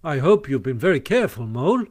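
A man's voice: mid-range voice, very animated, fast talker, a little mellow. Man voicing a fussy, anxious, polite rat. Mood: anxious, dismayed. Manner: pedantic, mild.

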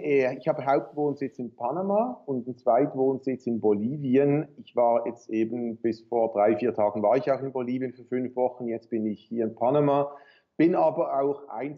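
A man from Switzerland with a Swiss accent, answering conversationally as a podcast guest over a remote call. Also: mid-range voice. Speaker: podcast guest, clairvoyant medium and author. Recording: dull, lo-fi remote call audio.

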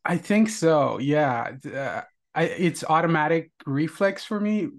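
A man from India with an Indian English accent, hosting a casual interview podcast in a relaxed, friendly way. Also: a little high, takes it slowly. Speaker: podcast host.

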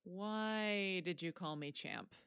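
A woman's voice: fairly low pitch, slow pace, a bit bright, smooth, no room sound, clean studio sound. A woman playing a frank, wry teenage student in a naturalistic audio drama, understated and a little hesitant. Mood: frank, thoughtful.

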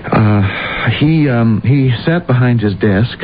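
A man's voice: medium pitch, lively intonation, takes it slowly. An actor playing a meek, nervous secretary in his late forties, hesitant and stammering, in an old-time radio drama. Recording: tinny, noisy old radio recording.

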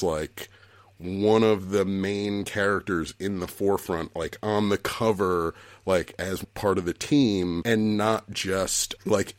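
Man in his 40s, really low voice, laughs a lot while he talks, dry close mic. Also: no echo. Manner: laughs often, thinks aloud with many restarts. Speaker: podcast co-host. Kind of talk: casual chat.

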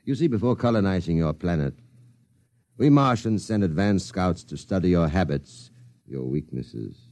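An actor playing a calm, mocking Martian invader disguised as a man, in a 1950s radio drama. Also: low voice, normal speed. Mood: sinister. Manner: soft, calm, mocking menace. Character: sinister smooth male Martian invader in disguise.

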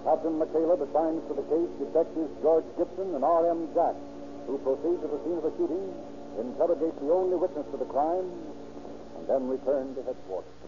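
A man's voice: on the high side, brisk, long pauses. A man narrating a scripted 1930s radio drama with a formal announcer's delivery. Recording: muffled, noisy old radio recording.

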